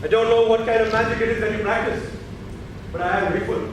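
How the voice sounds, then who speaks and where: A man's voice: sits high, fairly even intonation, quick pace, lightly acted. An actor playing an imperious, suspicious emperor and stern father in a formal, commanding stage drama. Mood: grandly musing. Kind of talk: theatrical stage acting. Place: theatre stage, recorded from far off. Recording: echoey stage, distant mic, noisy.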